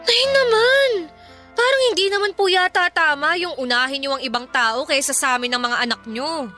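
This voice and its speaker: A woman's voice: high voice, a little fast, sharp tone, soft background music. A woman acting a dutiful working elder daughter with a Filipino accent, polite yet exasperated, in a radio drama. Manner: pleading, polite, earnest.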